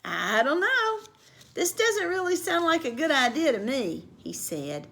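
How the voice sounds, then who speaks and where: Woman doing storybook dad, dry, measured, unhurried. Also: high-pitched, sing-song, vocal fry, a bit bright, voice pushed up, full character voice. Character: mild, dry grown-up dad. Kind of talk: storybook read-aloud.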